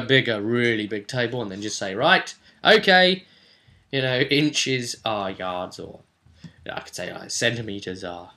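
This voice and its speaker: Bright, forceful man recapping a tabletop game. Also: on the low side, creaky. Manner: chatty, emphatic, with frequent asides. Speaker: game master recapping a tabletop role-playing session. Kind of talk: casual vlog recounting of a game.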